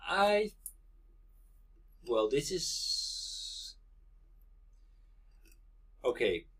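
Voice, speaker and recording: A man from the Netherlands, speaking English as an in a casual, halting, explanatory conversation. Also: fairly high pitch, big pitch swings, fairly bright tone, breathy edge. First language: Dutch. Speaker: interview guest.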